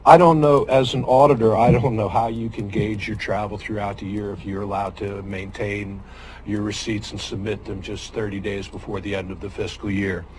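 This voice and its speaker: An older man, a former auditor, giving public comment at a meeting, methodically reading policy wording and pausing with frequent ums and restarts. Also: lowish voice, little pitch movement, a little mellow, slight background noise, low-quality recording.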